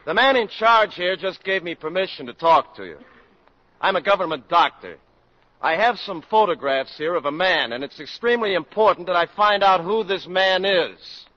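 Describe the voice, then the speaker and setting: An actor playing an earnest government doctor, urgently and politely appealing to a crowd in a 1950s radio drama. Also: male voice, high voice, lively intonation, moderate pace, dry recording. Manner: insistent, polite public appeal, projecting. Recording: muffled old radio broadcast.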